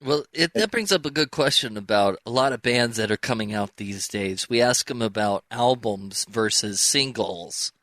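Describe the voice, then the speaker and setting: A man's voice: on the low side, normal speed, hardly any pauses, fairly bright tone, no room sound. A man hosting a show, putting questions in a casual, conversational interview style. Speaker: show host.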